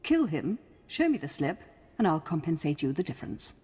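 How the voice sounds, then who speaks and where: Imperious game aristocrat: deep, swooping delivery, cold scorn, husky, long reverb. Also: female voice, sing-song, moderate pace, warm tone, exaggerated voice. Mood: scornful, bored. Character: haughty, contemptuous noblewoman, cold and imperious. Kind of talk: video game voice acting.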